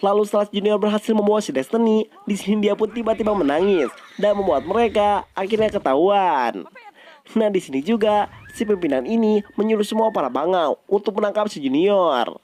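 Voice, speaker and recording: A man's voice: high voice, brisk, a little mellow. A man with an Indonesian accent narrates a film recap in a casual style.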